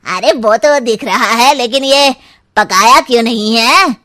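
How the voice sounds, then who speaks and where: A probing, skeptical cartoon in-law, played by a woman, speaking slowly and deliberately. The voice is fairly high and recorded very dry. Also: pushed and bright, dry recording. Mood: bewildered. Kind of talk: cartoon dialogue, Hindi moral story.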